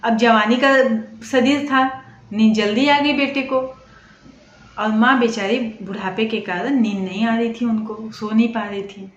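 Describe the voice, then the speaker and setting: High-voiced woman storyteller, echoey room. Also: fairly even intonation, a little slow. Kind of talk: spoken moral storytelling, emotional. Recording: echoey room, dull sound, faint noise.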